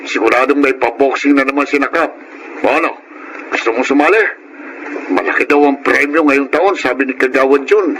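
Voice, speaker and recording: An actor playing a friendly, encouraging boxing coach in a radio drama, with a hearty manner and a Filipino accent. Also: male voice, medium pitch, brisk, lightly acted. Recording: noisy radio drama audio.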